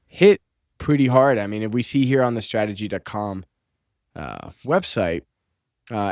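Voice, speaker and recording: A man's voice: lowish voice, dry recording, studio quality. A young man with a slightly stuffy, under-the-weather voice, casually talking through market charts with lots of fillers and restarts. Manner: loose, conversational, many fillers and restarts. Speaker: finance channel host. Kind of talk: casual commentary on screen charts.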